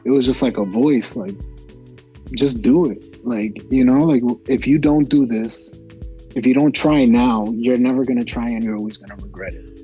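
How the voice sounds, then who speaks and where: Mellow-voiced young man, relaxed chat. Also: mid-range voice, normal speed, faint music behind. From Massachusetts. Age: 20s. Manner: relaxed, many fillers and restarts. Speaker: actor guest. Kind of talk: casual interview answers.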